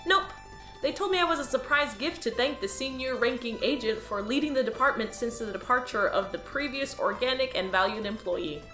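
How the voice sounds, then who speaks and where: An eager, naive alien newcomer voiced by a woman talks in a cheerful, earnest way. The voice is bright and a little high. A roomy echo and faint music sit behind it. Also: expressive, fairly quick. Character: eager naive male alien new hire. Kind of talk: audio drama acting.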